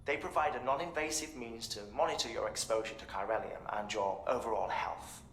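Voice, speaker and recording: A man's voice: medium pitch, steady tone, brisk, a bit bright, echoey room, slight background noise, natural voice. A man acting a scholarly researcher in a video game, explaining things in a formal, articulate, clinical manner. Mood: formal, matter-of-fact.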